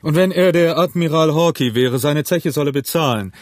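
A man voicing a big, shrewd, jovial tavern keeper in an audiobook, hearty, loud and commanding. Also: medium pitch, unhurried, no echo, studio quality, close to an everyday voice. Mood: loud, indignant. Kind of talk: acted audiobook character.

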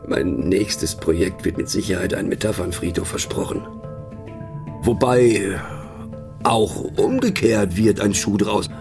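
A wry, pensive radio play narrator with a gravelly, creaking voice. He takes his time, delivering mocking musings in a conversational way with little rhetorical questions. Music plays faintly behind him. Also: lowish voice. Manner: ironic, rhetorical, punctuated with little questioning tags. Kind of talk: radio play satirical monologue, conversational asides.

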